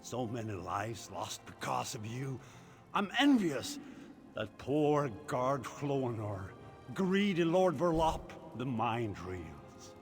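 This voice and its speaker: A man's voice: mid-range voice, big pitch swings, over music. An actor playing a smug, gloating usurper villain in a fantasy game, mocking and theatrical, drawing out his words. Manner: mocking, drawn-out, gloating delivery.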